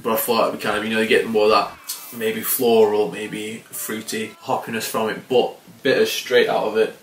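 A man in his thirties casually reviewing a beer to camera, relaxed and swearing freely with frequent chuckles. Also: lowish voice, moderate pace, slight background noise. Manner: laid-back, swears casually, chuckles often. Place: small indoor room by window blinds. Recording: roomy and slightly distant.

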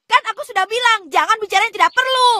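A woman's voice: extremely high pitch, quick pace, bright, forceful tone, soft background music, exaggerated voice. A woman voicing a whiny, dramatic, easily flustered young schoolboy in an Indonesian-dubbed children's cartoon. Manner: whiny, exclamatory.

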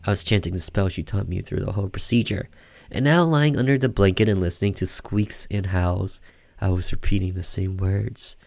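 A man reading a horror visual novel aloud in a slow, theatrical, suspenseful narration. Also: deep voice, vocal fry, a little mellow, no room sound. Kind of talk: dramatic narration of a horror story.

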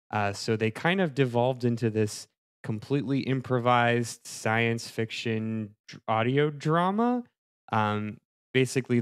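A man's voice: medium pitch, clear, resonant tone, dry recording, studio quality. A man hosting a podcast, introducing the show in a casual, conversational style. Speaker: podcast host.

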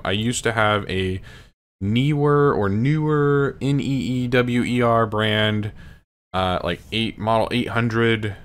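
A man's voice: lowish voice, fairly bright tone. A man in his thirties reviewing his microphone to a webcam in a small home room, rambling casually and correcting himself. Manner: rambling, self-correcting, apologetic asides. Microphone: studio condenser mic close to face.

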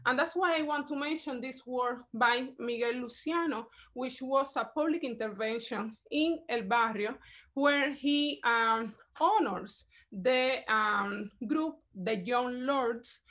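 A woman, a curator giving a measured online lecture over slides, pausing often with fillers. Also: fairly high pitch, a bit bright. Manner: measured, halting, with frequent fillers.

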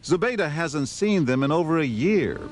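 A man narrating a television news magazine story in a measured, scripted voice-over. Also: on the high side, lively intonation. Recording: music bed under voice.

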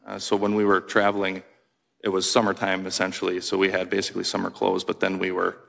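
Man, 30s, from Wisconsin, witness; deep, fry-edged, monotone delivery. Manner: terse, quiet, measured answers. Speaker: whistleblower witness. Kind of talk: hearing testimony. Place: congressional hearing room. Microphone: desk microphone.

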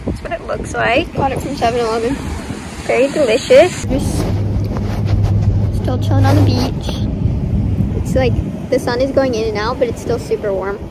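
A high-voiced young woman chatting into her phone camera in a relaxed, upbeat vlog tone. Heavy wind and crashing surf roar behind her, and she keeps it casual and breezy. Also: moderate pace, pauses often. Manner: breezy, upbeat, trailing into giggles. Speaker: vlogger. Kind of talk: casual travel vlog narration. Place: outdoors on a beach and in a hotel room. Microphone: handheld phone at arm's length.

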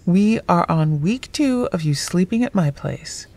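An actress playing a blunt, wry, loyal friend in a naturalistic audio drama, speaking casually. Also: female voice, on the low side, animated, unhurried, darkish tone. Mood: wry, teasing. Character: blunt, foul-mouthed, loyal woman friend, homebody.

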